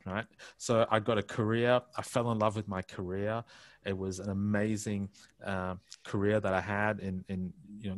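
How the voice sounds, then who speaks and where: Aussie guy in his 50s, lowish voice, dry close headset sound, talks reflective w lots of restarts. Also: no room sound. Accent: Australian. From India. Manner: reflective, frequent restarts and filler phrases. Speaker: interview guest. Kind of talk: candid personal storytelling. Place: home study on a video call.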